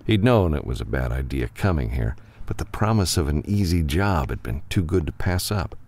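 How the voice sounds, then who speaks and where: A man's voice: extremely low pitch, very animated, normal speed, a little mellow. A man narrating an adventure novel as an audiobook, reading scripted prose dramatically.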